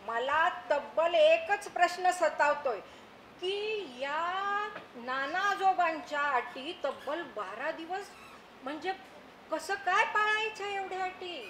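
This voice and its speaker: A woman's voice: very high-pitched, animated, takes it slowly, a bit bright, exaggerated voice. A woman acting an exasperated, nagging wife and mother in a stage comedy, complaining dramatically and repeating herself for emphasis. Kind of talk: theatrical stage play acting. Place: theatre stage in an auditorium. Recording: echoey auditorium with faint noise.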